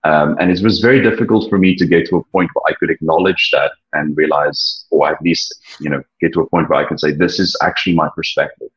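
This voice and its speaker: A young South African man whose first language is Afrikaans, sharing his story earnestly, with frequent halting restarts. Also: very deep voice, fairly even intonation, fairly bright tone, husky. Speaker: interview guest. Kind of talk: personal testimony, reflective. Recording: dull lo-fi sound.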